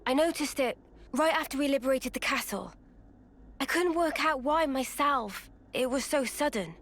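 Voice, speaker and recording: An actress playing a gentle, earnest young soldier who speaks softly and a little hesitantly. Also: female voice, high voice, normal speed. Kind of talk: video game cutscene voice acting.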